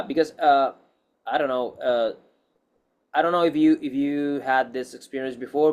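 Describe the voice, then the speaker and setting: A man's voice: mid-range voice, darkish tone. A man speaking non-native English in a casual interview as an actor, rambling and repeating himself as he gives emphatic advice.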